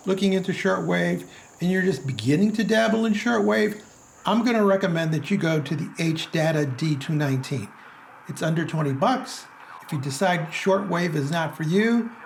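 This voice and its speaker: Gravelly-voiced man reviewing gadgets. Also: fairly high pitch, slight background noise. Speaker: reviewer and presenter of a radio review. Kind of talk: product review narration.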